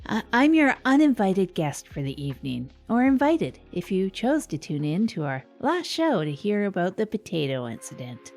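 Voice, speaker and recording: A woman's voice: medium pitch, very animated, normal speed, hardly any pauses, a little mellow. Woman acting as a wry small-town radio host lady, rambly and hesitant, voice moves around a lot, music in it. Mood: wry, reflective. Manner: hesitant, rambling, self-deprecating asides. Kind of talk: scripted comedic radio monologue.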